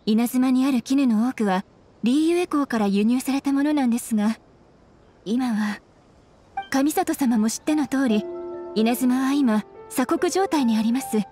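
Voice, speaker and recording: A woman's voice: high-pitched, unhurried, dark tone, faint music behind. A woman playing a courteous young kimono shop owner in an anime game, speaking with polite deference to customers. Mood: apologetic, explanatory. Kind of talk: anime video game dialogue.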